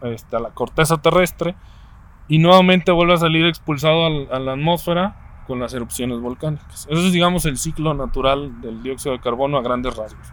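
A man's voice: fairly high pitch, moderate pace. Mexican man in his thirties, explaining. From Mexico City, Mexico. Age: 30s. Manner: thoughtful, explains step by step with frequent hesitations. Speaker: interviewee. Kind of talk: casual conversation.

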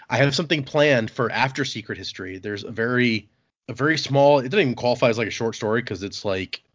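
A man's voice: mid-range voice, no echo. A man hosting a podcast in casual chat, rambling and restarting his phrases, with a laugh here and there. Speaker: podcast host. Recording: dull, muffled podcast audio.

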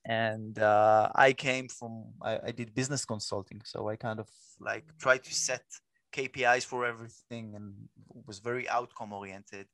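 Gravelly Israeli man, halting speech. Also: fairly low pitch, steady tone, a bit bright, dry recording. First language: Hebrew. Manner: halting, many restarts. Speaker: guest, nonprofit leader. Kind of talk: podcast interview.

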